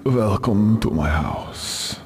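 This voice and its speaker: Deep, slow old vampire count. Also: male voice, sing-song, gravelly, a little hiss or hum, exaggerated voice. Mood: courtly, gloomy. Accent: mock Transylvanian. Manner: theatrical, formal, drawn-out phrasing. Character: old aristocratic vampire count, courtly, gloomy, menacing, touchy. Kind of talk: video game cutscene acting.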